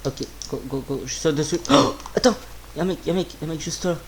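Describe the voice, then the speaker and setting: A slightly hoarse man with a sore throat giving casual, informal gameplay commentary, muttering to himself and swearing. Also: a little high, quick pace, very noisy. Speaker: gaming video creator.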